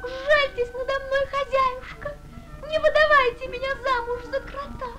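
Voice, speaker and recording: A woman voicing a tiny, gentle, earnest young girl in a vintage animated fairy tale. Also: very high voice, music in the background. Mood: pleading. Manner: soft-hearted, earnest, slow.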